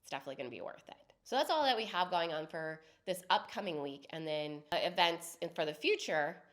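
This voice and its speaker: A woman co-host with a slightly low voice and a head cold talks through upcoming events. She chats loosely, adding small asides as she goes. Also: expressive, brisk, a bit bright. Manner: chatty, lists events and details conversationally. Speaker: podcast co-host. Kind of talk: casual podcast chat.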